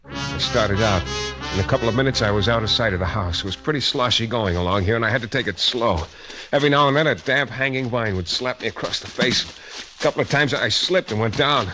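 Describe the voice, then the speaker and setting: A man's voice: mid-range voice, brisk, over music, lightly acted. An actor playing a tough, wry private detective who narrates his own case in a clipped 1940s radio style. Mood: grim, steady. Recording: noisy vintage radio recording.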